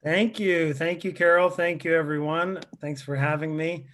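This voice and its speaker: A man's voice: a little high, moderate pace. A man in his thirties, born in Lebanon, doing a self-deprecating stand-up set over a video call from a rented apartment. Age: 30s. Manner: deadpan, self-deprecating, chatty asides. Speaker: stand-up comedian.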